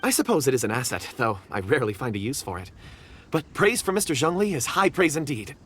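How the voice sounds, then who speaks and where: A polite, curious companion in a video game, mild and gentle in manner. His pitch moves around in a lively way, with faint noise behind the voice. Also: quick pace. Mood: pleased.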